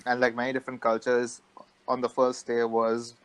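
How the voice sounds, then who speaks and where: Smooth-voiced playful young man. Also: medium pitch, completely flat delivery, moderate pace, no echo, poor audio. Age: young adult. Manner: playful, upbeat, joking. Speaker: podcast co-host. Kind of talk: casual chat.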